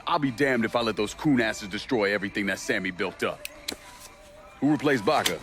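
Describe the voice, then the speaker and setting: Actor as a low-voiced, vengeful American war veteran. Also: male voice, brisk, fairly bright tone, natural voice. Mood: gruff, suspicious. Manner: blunt, resolute. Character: vengeful young Vietnam veteran, male, grim and determined. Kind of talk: video game cutscene acting. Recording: music behind the voice.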